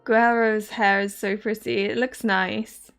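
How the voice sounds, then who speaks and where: Giggly bright-voiced English woman streamer. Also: medium pitch, flat delivery, measured pace. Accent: southern English. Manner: giggly, laughs often, stumbles over foreign names. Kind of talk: casual livestream game commentary, reading game text aloud. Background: faint game music.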